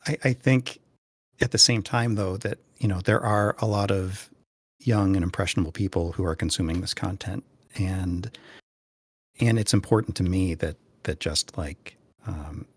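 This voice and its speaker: A man talking casually on a discussion panel, earnest and halting as he searches for his words. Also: on the low side, husky, dry recording, studio quality. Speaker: podcast panelist.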